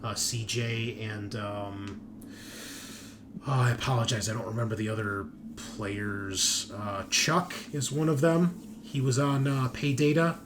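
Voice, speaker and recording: A man's voice: mid-range voice, little pitch movement, measured pace, noisy background. A man hosting a tabletop gaming show, talking casually and informally in a hesitant, rambling, conversational way. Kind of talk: casual chat, rambling announcements of upcoming episodes.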